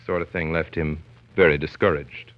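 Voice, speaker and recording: An actor playing a grown son narrating family memories, wry and measured, in 1930s radio style. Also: male voice, very deep voice, steady tone. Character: grown son wryly recalling his domineering father. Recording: dull 1930s radio recording with music.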